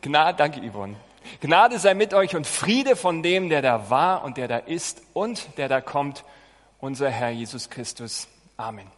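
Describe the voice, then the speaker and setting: Higher-voiced male pastor in a reverberant hall. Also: sing-song, unhurried. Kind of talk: sermon with scripture reading.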